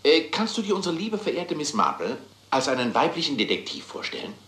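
A man playing an eager, scheming theatre company member, excitable and persuasive, in a German crime comedy. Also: on the high side, brisk, reverberant.

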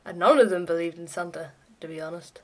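A woman's voice: on the low side, fast. Young woman chatting offhandedly to camera. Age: young adult. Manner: irreverent, offhand, sweary. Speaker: vlogger. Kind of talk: casual chatty vlog to camera. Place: small bedroom, indoors.

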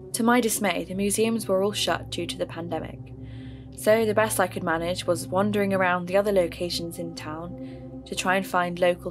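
A woman with a lowish voice narrates her search into a local mystery. She speaks in an earnest, measured way. Music plays behind her. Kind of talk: scripted narration.

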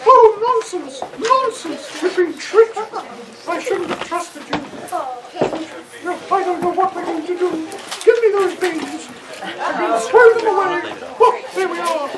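A man voicing a shrill, melodramatic, scolding mother in a children's puppet show. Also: lively intonation, a little slow. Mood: indignant, despairing. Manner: shrill, exclaiming, indignant. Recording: far off outdoors, noisy children's crowd.

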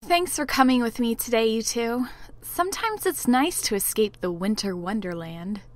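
A woman's voice: a little high, lively intonation, normal speed, no room sound, close to an everyday voice. A woman playing a guarded, easily exasperated young woman in a fan audio drama. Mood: friendly. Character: exasperated young woman, mayor's daughter, guarded about boys.

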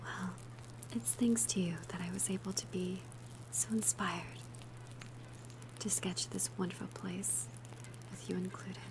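A woman voicing a gentle, shy young female alchemist in an ASMR roleplay, softly breathy, hesitant and tender. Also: fairly low pitch, fairly even intonation, measured pace, long pauses, noisy background. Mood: shy, warm. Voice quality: soft, breathy whisper.

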